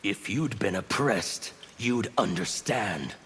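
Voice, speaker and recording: Gravelly, scarred revolutionary zealot for a fighting game, bright forceful edge, slow defiant delivery. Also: male voice, lowish voice, animated, slight background noise. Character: bitter fanatical male revolutionary of chaos, scarred and menacing. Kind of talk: video game fight banter.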